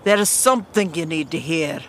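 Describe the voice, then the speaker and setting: Low-voiced stern regal mother. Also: expressive, slight background noise. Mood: stern concern. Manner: formal, measured, commanding. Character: stern regal mother, composed commanding queen. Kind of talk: fantasy audio drama acting.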